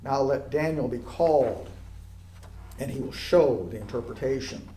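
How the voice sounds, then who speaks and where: A man reading scripture aloud in a solemn style, with deliberate, declamatory phrasing. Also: mid-range voice, dark tone, a little hiss or hum. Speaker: scripture reader. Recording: echoey, roomy sound.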